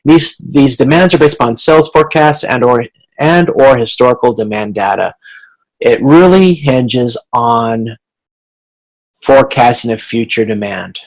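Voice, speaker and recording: Gravelly-voiced man giving an informal lecture. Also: mid-range voice, a little slow, rough recording. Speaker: college course instructor.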